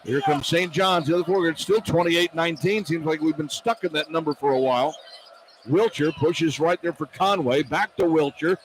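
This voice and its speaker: A man's voice: a little high, normal speed, rough recording. An American man calling live basketball play-by-play on radio in clipped phrases. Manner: clipped phrases tracking each pass and shot. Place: courtside at an indoor arena. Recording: arena noise behind broadcast commentary. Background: arena ambience, basketball bounce.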